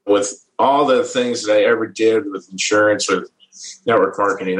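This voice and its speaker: A middle-aged man with a fairly low voice talks in a relaxed, easygoing way and chuckles often. He restarts his phrases as he thinks. His voice bounces around an echoey room. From American Midwest. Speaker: guest. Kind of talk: casual interview storytelling.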